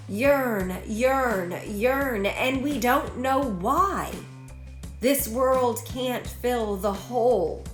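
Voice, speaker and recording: A woman giving a dramatic recitation of poetry as a reader. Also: on the high side. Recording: echoey room, music behind.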